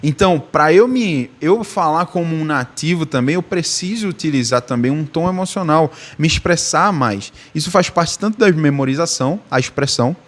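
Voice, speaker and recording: A man's voice: a little high, animated, normal speed, few pauses, a bit bright. Chatty man teaching languages. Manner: chatty, repeats himself, ends phrases with "tá?"; shifts his tone to show emotion. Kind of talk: casual video lesson.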